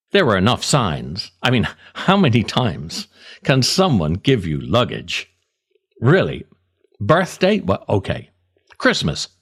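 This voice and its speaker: A middle-aged man reading a scripted comic monologue as a podcast host, in a wry, self-deprecating way with theatrical pauses. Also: medium pitch, fairly bright tone. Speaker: podcast host, writer reading own essay.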